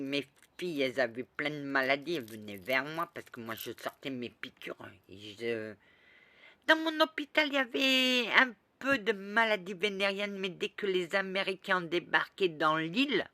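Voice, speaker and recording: A woman recounts a long personal tale in a loose, rambling way, doubling back and restarting her sentences. Her voice is bright and forward, and she takes her time. The recording is dry, with no room sound. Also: low voice, dry recording. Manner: lively, rambling, with restarts and asides. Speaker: storyteller recounting past lives. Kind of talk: casual spoken storytelling.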